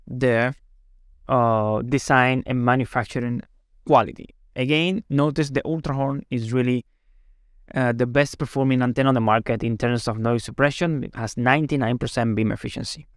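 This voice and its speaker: A man presents a product webinar in a medium-pitched voice. He explains step by step and often tags his sentences with a checking question. The sound is dry and close to the mic. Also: steady tone, normal speed, clear, resonant tone, dry recording. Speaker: company presenter.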